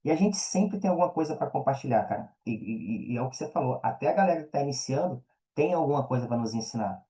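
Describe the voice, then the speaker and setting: Man, Brazilian accent, relaxed dark tone, average pitch, informal conversational answers, slangy. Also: fast, low-quality recording. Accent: Brazilian Portuguese. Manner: informal, slangy, frequent check-in tags. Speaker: interview guest, affiliate marketer and mentor. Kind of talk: casual conversational interview answers.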